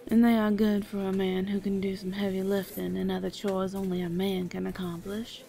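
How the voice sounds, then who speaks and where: A woman's voice: medium pitch, little pitch movement, moderate pace, vocal fry, dark tone, close to an everyday voice. A woman playing a brash, bossy but motherly small-town eatery woman, blunt and teasing, in an audio drama. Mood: brisk, bossy. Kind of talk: audio theater acting.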